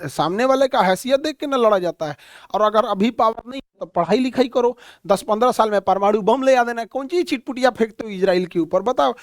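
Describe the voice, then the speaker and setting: A man lecturing on current affairs in a blunt, folksy, animated way, full of homely comparisons. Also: very high voice, fairly quick, no room sound, clean studio sound. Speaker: teacher.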